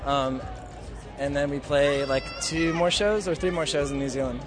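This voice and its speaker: A man from San Francisco who plays in a band, talking in a relaxed, hesitant way at an outdoor festival. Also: on the high side, normal speed. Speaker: musician. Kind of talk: casual interview. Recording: outdoor festival with crowd and music.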